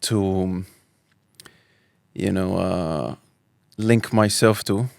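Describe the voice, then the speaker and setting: Guy in his 30s from spain, deep-ish smooth voice, dry close mic, talks it through slowly w lots of restarts. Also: a little mellow, dry recording. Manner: thoughtful, with frequent restarts and Arabic phrases. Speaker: guest. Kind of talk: relaxed personal storytelling.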